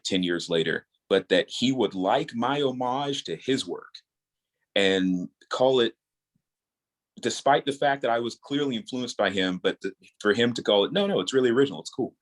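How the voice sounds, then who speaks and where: Male, thirties, low-pitched. Earnest, encouraging creator chatting in a casual interview. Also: expressive, normal speed. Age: 30s. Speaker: guest, audio drama creator.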